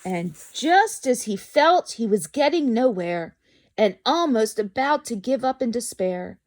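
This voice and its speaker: Casting: female children's story reader, high-pitched and very expressive, dramatic read-aloud with asides to listeners. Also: unhurried.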